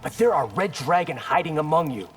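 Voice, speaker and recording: Young fighter hero for a game: fairly high male voice, animated, cocky, terse, wry. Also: brisk, soft background music. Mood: guarded, wry. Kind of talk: video game hero acting.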